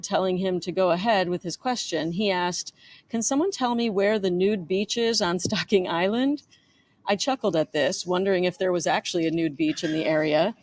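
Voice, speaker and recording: A woman's voice: on the low side, a little mellow. A woman author reading aloud from her own memoir in a narrating style.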